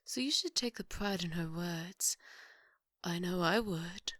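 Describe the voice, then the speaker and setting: Woman acting a low-voiced, composed, formal maid. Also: measured pace, a bit bright, no echo, clean studio sound. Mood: devoted. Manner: composed, stiff formal wording, often whispery. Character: formal, devoted, politely menacing female maid to a vampire. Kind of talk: ASMR roleplay.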